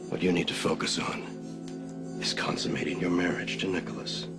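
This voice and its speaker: A man's voice: low-pitched, level tone, fairly quick, gravelly. An actor playing a controlling, commanding man in a TV soap. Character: controlling, commanding man issuing family orders. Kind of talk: television soap opera acting. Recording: loud music over dialogue.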